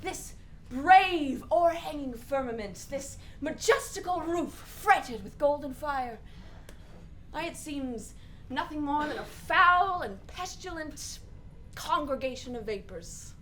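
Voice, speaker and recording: A woman's voice: high voice, animated, slow, fairly bright tone, slight background noise, heavily acted. A woman playing a restless young prince who feigns madness, in exaggerated, theatrical Shakespearean radio drama style. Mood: melancholy, brooding. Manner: exaggerated, punctuated with giggling.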